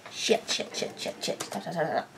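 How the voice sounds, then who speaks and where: A raspy-voiced young woman chatting casually to camera from her bedroom, reacting to a TV show and swearing under her breath at small mishaps. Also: moderate pace, heavy background noise. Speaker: TV reaction vlogger. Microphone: laptop mic.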